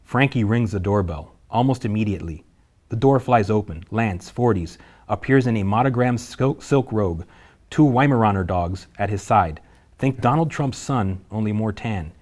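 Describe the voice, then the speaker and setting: Low-voiced man reading stage directions. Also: moderate pace. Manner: steady, matter-of-fact reading. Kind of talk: table read of a screenplay.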